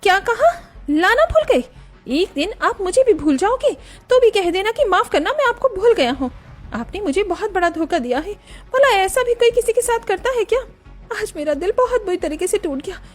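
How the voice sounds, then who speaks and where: A woman voicing an emotional young daughter-in-law in a cartoon story, eager, pleading and quick to take offence. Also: extremely high pitch, big pitch swings, soft background music, voice pushed up. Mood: hurt, angry. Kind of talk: Hindi cartoon story dialogue.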